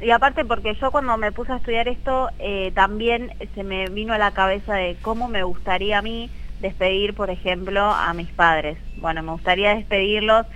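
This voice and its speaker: A woman in her thirties with an Argentine accent, a guest on a radio interview, talking earnestly about her work with many quick agreeing "sí, sí"s. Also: mid-range voice, fairly even intonation, a bit bright, a little hiss or hum, poor audio. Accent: Argentine Spanish. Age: 30s. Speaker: guest, embalmer.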